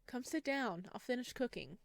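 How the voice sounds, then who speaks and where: A woman's voice: fairly high pitch, lively intonation, moderate pace, creaky, no echo. Girl reading as friendly student main character in a visual novel, casual n inviting, dry sound.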